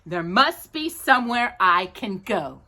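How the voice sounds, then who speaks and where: A woman's voice: medium pitch, big pitch swings, unhurried, pushed and bright. A woman voicing a grumpy young boy who scorns love, complaining emphatically in a storybook reading. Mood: disgusted.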